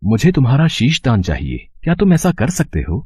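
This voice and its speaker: A man voicing a calm, shrewd, majestic god in a mythological story, with measured, formal speech. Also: mid-range voice, husky, dry recording. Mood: grave, deliberate. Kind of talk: scripted mythological storytelling drama.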